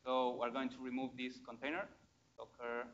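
A man's voice: medium pitch, level tone, moderate pace, a bit bright, lo-fi sound. A man giving a casual, enthusiastic live demo at a tech meetup, with many fillers and restarts. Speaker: conference speaker.

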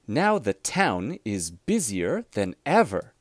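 A man reads a children's storybook aloud with deliberate, expressive phrasing. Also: on the low side, dry recording, studio quality. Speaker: storybook reader.

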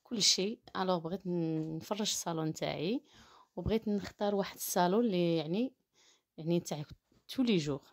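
Casting: female vlogger, Maghrebi Arabic accent, slightly low pitch, rapid casual delivery sprinkled with French. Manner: mixes French words into Arabic. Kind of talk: casual voice-over to viewers.

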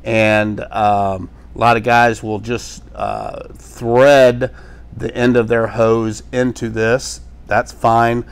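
A man's voice: medium pitch, little pitch movement, slow pace, smooth. A middle-aged man teaching a hands-on equipment demonstration in a small workshop, talking casually with fillers and restarts as he works. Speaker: pressure washing instructor. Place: small workshop with tool shelves, indoors.

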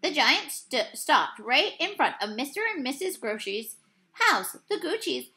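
Expressive young woman reading a storybook. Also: high-pitched, measured pace. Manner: expressive storytelling, swells and hushes for suspense; apologizes for slips and losing her place. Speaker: teacher reading aloud. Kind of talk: children's book read-aloud. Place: small room at home, plain wall behind. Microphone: webcam at desk distance.

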